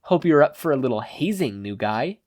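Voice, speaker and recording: A man's voice: medium pitch, sing-song, moderate pace, a little mellow. A man voicing a cocky, sleazy male gangster with a sneering, taunting delivery. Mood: mocking.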